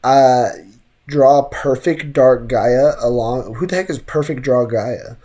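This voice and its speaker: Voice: medium pitch, slow, warm tone. A game streamer chatting casually over gameplay and reading chat, with slangy, joking asides.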